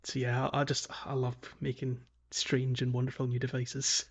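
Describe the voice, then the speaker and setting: A man's voice: medium pitch, monotone, normal speed. A man co-hosting a gaming podcast in a casual, conversational way. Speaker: podcast co-host. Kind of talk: casual video game discussion.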